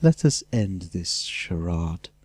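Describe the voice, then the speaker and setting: A man's voice: low voice, sing-song, takes it slowly, a little mellow, slightly rough, no echo, pitched below the performer's own voice, full character voice. A man voicing a haughty aristocratic dragon villain in a fantasy audiobook, mocking and nastily polite. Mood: nasty.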